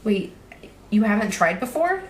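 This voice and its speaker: A young woman playing an earnest college student in an audio drama, talking casually in a halting, questioning way. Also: mid-range voice, very animated, natural voice. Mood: incredulous, prodding. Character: earnest, hesitant young college student, thief's incredulous friend. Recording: roomy echo, faint background noise.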